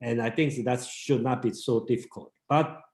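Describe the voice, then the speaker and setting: Male group leader, Mandarin native, halting delivery, roomy echo. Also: mid-range voice, a little mellow. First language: Mandarin Chinese. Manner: halting, many restarts and fillers. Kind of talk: casual seminar talk.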